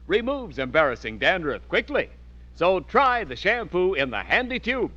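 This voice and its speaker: A man's voice: high-pitched, very animated, normal speed, bright, forceful tone, dry recording, highs cut off. A man with an American accent announcing and advertising in polished, emphatic 1940s radio style. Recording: thin vintage radio recording.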